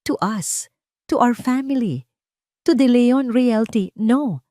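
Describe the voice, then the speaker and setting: A woman's voice: on the high side, slow, warm tone, no echo, pitched above the performer's own voice. A narrator woman puts on a seductive Filipino mistress in an audio romance drama. She is ambitious and smug, savoring each phrase in a dry recording. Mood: smug, celebratory.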